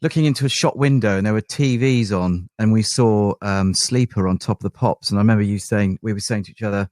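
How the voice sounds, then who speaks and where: A man's voice: on the low side, fast, a little mellow, dry recording, clean studio sound. An English man in his forties, a band member chatting casually and reminiscing as a guest.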